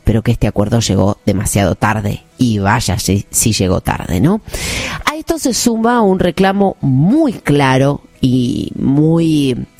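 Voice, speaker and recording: A woman, hosting a news show and explaining events in a measured way with hesitant fillers. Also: deep voice, a little mellow. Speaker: news host. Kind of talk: news commentary and interview.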